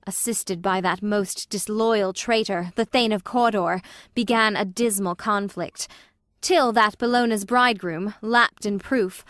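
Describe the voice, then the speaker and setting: A woman's voice: medium pitch, a bit bright, dry recording, studio quality, lightly acted. A woman playing a loyal noble thane delivering war news in formal verse for an audiobook drama.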